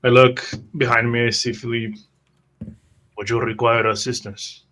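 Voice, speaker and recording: A man in a tabletop roleplaying game, narrating his character's actions in halting phrases. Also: mid-range voice, level tone, a bit bright.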